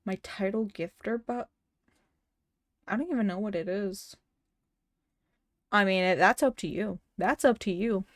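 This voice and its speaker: A woman's voice: mid-range voice, measured pace, pauses often, no echo, clean studio sound. A woman streamer in casual chat with her viewers, stuttering often and restarting words, laughing now and then. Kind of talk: casual livestream chat, reading chat messages and a story aloud.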